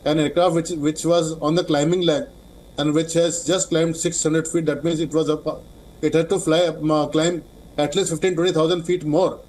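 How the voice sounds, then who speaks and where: Male expert guest for news interview, Indian accent, fairly high register, halting and repetitive phrasing. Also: flat delivery, a little mellow, rough recording. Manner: hesitant, halting, with repeated words.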